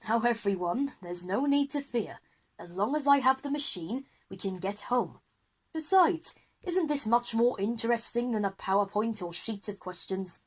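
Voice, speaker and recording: Woman playing Brian, a flustered, husky male history teacher. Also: fairly high pitch, expressive, normal speed. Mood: eager lecturing. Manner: eager, wordy lecturing. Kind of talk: children's audio drama acting.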